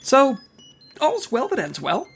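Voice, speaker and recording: A woman's voice: high voice, wildly swooping pitch, slow, gravelly, slightly rough, heavily acted. A woman playing a prim, dry secret agent who speaks precisely and formally in an audio comedy drama. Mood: dry, reconciled.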